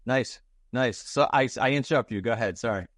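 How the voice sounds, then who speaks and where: A man's voice: mid-range voice, fairly quick, no echo, studio quality. A man hosting a podcast, informal and emphatic, repeating words for stress. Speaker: podcast host. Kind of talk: upbeat podcast intro and interview chat.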